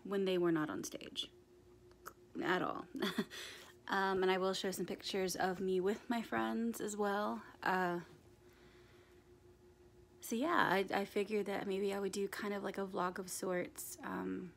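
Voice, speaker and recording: Hoarse young woman rambling to a phone. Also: mid-range voice, little pitch movement, unhurried, long pauses, a little hiss or hum. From United States. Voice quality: raspy. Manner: rambling, many ums and restarts. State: hoarse from screaming at a concert. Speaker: vlogger. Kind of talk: casual chat to camera. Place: small bedroom indoors. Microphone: phone camera held at arm's length.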